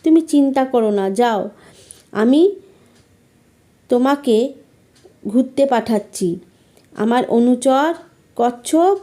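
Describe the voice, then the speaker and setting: Woman as a gentle, mellow-voiced fairy. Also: on the high side, lively intonation, slow pace, pauses often. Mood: reassuring. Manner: gentle, instructing, reassuring. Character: kindly, reassuring female salt fairy with magic. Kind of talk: fairytale storytelling.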